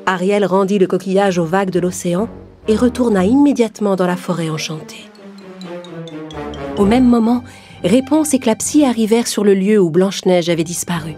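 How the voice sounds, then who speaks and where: A woman's voice: mid-range voice, normal speed, dark tone. A woman narrator reading a scripted fairy tale for children. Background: background music.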